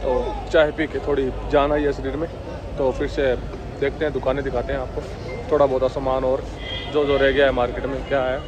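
A man's voice: medium pitch, flat delivery, a little fast, muffled recording. A young man casually narrating a travel vlog straight to his viewers while walking through a busy outdoor market, recorded on a camera-mounted mic. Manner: chatty, talks straight to viewers. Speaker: travel vlogger. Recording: busy outdoor street noise.

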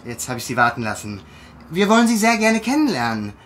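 A man's voice: a little high, sing-song, a little fast, fairly bright tone, a little hiss or hum. An actor playing an impulsive, cheeky and nervy young male schemer in a naturalistic audio drama. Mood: flustered, polite.

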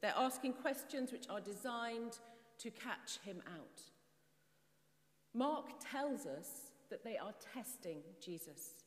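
Middle-aged woman preaching in an echoing church. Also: fairly high pitch. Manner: measured, explanatory preaching with rhetorical questions. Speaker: priest. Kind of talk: sermon. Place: stone church, at a pulpit. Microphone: far camera. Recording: long church reverb.

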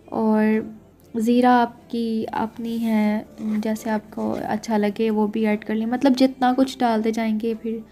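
A woman's voice: on the high side, mellow. Chatty woman walking through a recipe. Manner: chatty, keeps saying "theek hai" to check in with viewers. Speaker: cooking vlogger. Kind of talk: casual cooking narration.

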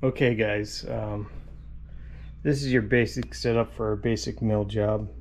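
A man's voice: on the low side, fairly even intonation, unhurried, slight background noise. A man in his fifties hosting a casual DIY vlog from his driveway and garage workshop, chatty and rambling, often saying "guys". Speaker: DIY channel host.

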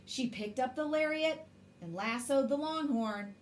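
A woman's voice: high-pitched, measured pace, a little hiss or hum. A woman in her forties reading a children's story expressively as a storyteller, indoors.

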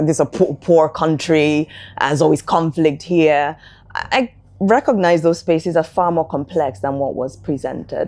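A middle-aged woman, an academic answering interview questions in a thoughtful, measured way. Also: low voice, mellow. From Nigeria. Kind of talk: reflective interview talk. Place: indoor interview set, seated.

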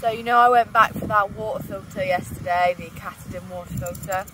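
A woman's voice: medium pitch, fairly even intonation, fairly quick, background noise. A young woman chatting casually to her camera while wild camping on a mountainside, laughing often. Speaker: vlogger. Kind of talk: casual outdoor vlog narration. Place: outdoors on a mountainside by a lake. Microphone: handheld camera selfie.

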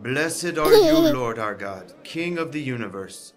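Earnest husband, very animated pitch. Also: male voice, unhurried, soft background music. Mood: devout. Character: Joseph, earnest, devout young husband and father. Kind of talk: Bible audio drama acting.

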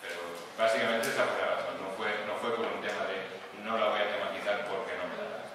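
A man answers audience questions from a podium, his voice on the low side. It rings through a big lecture hall, picked up from a distance. There is steady background noise. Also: fast talker. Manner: explains at length, with many self-corrections and fillers. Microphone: podium microphone. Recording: echoey hall, recorded from far off.

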